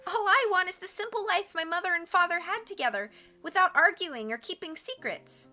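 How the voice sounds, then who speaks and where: A woman's voice: extremely high pitch, expressive, fairly quick, sharp tone, faint music behind, pitched above the performer's own voice, full character voice. A woman narrator voicing a young island girl, earnest and wistful about a simple life.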